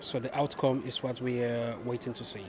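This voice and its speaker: A man from Sierra Leone answering a street interviewer in a measured, reflective way. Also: medium pitch, little pitch movement. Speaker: passer-by interviewee. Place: city street outdoors. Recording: faint street noise outdoors.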